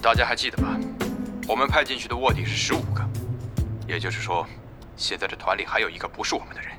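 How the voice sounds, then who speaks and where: An actor playing a scheming, forceful male guild leader in an audio drama. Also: fairly low pitch, quick pace, loud music behind the voice, close to an everyday voice. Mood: shrewd.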